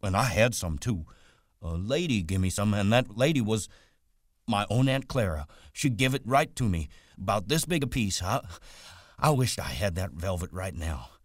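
A man's voice: on the low side, expressive, dry recording, clean studio sound, voice pushed up. Man acting a childlike, simple-minded big fellow. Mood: childlike delight. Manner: ungrammatical rustic speech, slow-witted and repetitive.

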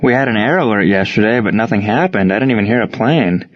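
A man's voice: on the low side, fast talker, no room sound, lo-fi sound, close to an everyday voice. A man voicing a firm, plain-spoken baseball coach in a wartime audiobook story. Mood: friendly, steady. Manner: forceful, plain-spoken, barks orders. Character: commanding male wartime baseball coach, steady but firm.

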